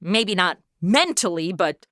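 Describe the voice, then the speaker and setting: A woman's voice: lowish voice, very animated, takes it slowly, a bit bright, no echo, studio quality, heavily acted. A woman playing a sassy, sarcastic modern crew member in a comedy audio drama, casual and flippant.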